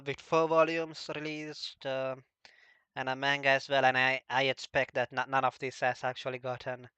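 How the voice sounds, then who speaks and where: A man hosts a casual chat in a bright, clear voice of medium pitch. He hesitates heavily and stammers over word starts. The recording is dry and slightly muffled. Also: dry recording. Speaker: host of a visual novel talk stream.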